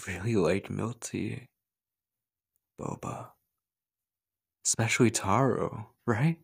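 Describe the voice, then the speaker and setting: A man playing an obsessive, possessive stalker lover in a horror ASMR roleplay, halting and breathy, clingy and menacing. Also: lowish voice, exaggerated voice. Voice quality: husky, breathy. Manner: slow, halting, slipping between breathy whispers and urgent pleading. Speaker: voice actor.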